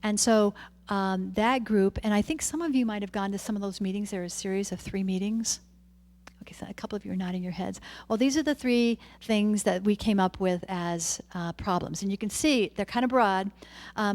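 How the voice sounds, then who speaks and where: A woman's voice: mid-range voice, darkish tone. A woman, a public health official presenting plans to a city committee in an informal way, walking through her slides.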